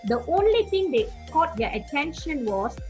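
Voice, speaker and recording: An earnest woman evangelist from Singapore speaks in a high, very lively voice, repeating key phrases to press her point home. Soft music plays faintly underneath. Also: normal speed. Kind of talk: teaching testimony.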